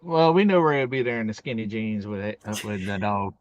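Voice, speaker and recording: A man's voice: lowish voice, expressive, brisk, dry recording. A man in his forties chatting casually as co-host of a live stream, with folksy, teasing banter. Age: 40s. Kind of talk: casual chat.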